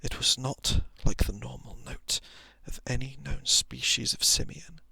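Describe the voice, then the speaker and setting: A man softly reading a horror story in ASMR style, often slipping into a whisper, with deliberate dramatic pauses, close to the microphone. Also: medium pitch, no room sound. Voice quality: soft, breathy, often dropping into whisper.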